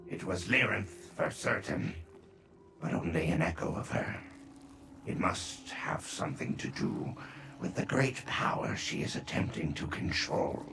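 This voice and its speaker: An actor playing a sly, wry adventurer in a video game, with a dry, deliberate delivery. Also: male voice, low-pitched, animated, full character voice. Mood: intrigued. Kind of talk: video game character dialogue. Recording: clear background ambience noise.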